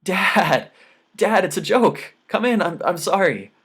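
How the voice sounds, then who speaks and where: An earnest teenage girl as a man acts her out in an audiobook. The voice sits higher and explains things patiently, with a gentle manner toward her dad. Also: expressive, slow, husky, heavily acted. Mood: playful, apologetic.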